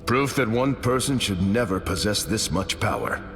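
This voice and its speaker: An actor playing a righteous super-soldier hero in a video game, firm and plain-spoken. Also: male voice, fairly low pitch, lively intonation, normal speed, music in the background. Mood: resolute. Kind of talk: video game character voice lines. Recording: long reverb, noisy game ambience.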